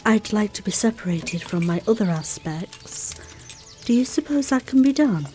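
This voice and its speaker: A woman playing a calm, wistful fate spirit curious about mortals, with measured delivery in an audio drama. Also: mid-range voice, soft background music, lightly acted. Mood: calm, practical. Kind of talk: scripted audio drama dialogue.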